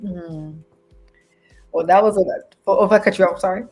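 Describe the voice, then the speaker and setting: A woman's voice: fairly low pitch, moderate pace, darkish tone, soft background music, low-quality recording. A woman hosting a podcast, casually moderating the discussion between speakers. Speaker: podcast host.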